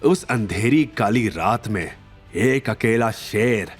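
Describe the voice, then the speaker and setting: A man's voice: fairly low pitch, lively intonation, takes it slowly, breathy edge, soft background music, full character voice. A man voicing a boastful reformed underworld hitman in an audio drama, speaking in a dramatic, awed storytelling manner. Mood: proud.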